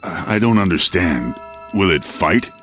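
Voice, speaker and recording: A man playing a gruff, blunt Greek warrior in a dramatic game cutscene. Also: sits low, lively intonation, measured pace, a bit bright, soft background music. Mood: puzzled.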